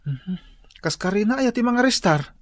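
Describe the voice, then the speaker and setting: A man's voice: sits high, expressive, moderate pace, no room sound. A man playing an exasperated, chiding village husband in a comedic Ilocano radio drama.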